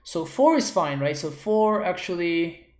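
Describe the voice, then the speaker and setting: A man's voice: high-pitched, animated, a little slow, darkish tone. A man from Argentina, streaming a card game and thinking aloud as he works through his plays. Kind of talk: live card game commentary. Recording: roomy echo.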